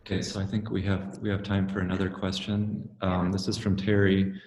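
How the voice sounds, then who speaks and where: A middle-aged man moderating an online talk, reading out audience questions in a brisk, businesslike way. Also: low voice, little pitch movement, darkish tone. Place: art gallery, indoors. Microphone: video call webcam. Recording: echoey room from a distance, faint noise.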